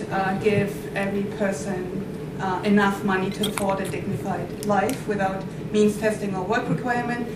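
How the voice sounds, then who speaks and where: Female guest from Germany, hesitant, roomy distant sound. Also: mid-range voice. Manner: thoughtful, hesitant with many "um"s. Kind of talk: self-introduction on live radio. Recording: echoey room, distant mic, loud noise.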